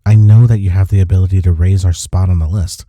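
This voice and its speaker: A man playing a smitten office rival in an intimate romantic audio roleplay, with a husky, mellow voice and a halting, teasing manner. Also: sits low, fairly even intonation, no room sound, lightly acted. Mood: earnest, defensive. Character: flustered, smitten male office rival confessing attraction.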